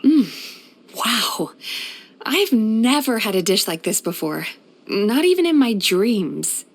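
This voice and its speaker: A woman playing a gentle, caring female psychologist in a video game, speaking in a soothing, thoughtful, measured and softly playful manner. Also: on the high side, very animated, heavily acted. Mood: pleased, serene. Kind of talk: scripted video game character voice lines.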